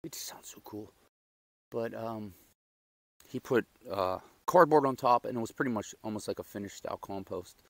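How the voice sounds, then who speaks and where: Low, gravelly man chatting in his garden. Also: normal speed, no room sound. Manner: rambling, self-deprecating, chatty. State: raspy from a loud night out. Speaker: gardening vlogger. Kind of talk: casual chat while doing garden chores. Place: outdoors in a backyard garden. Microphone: lapel mic.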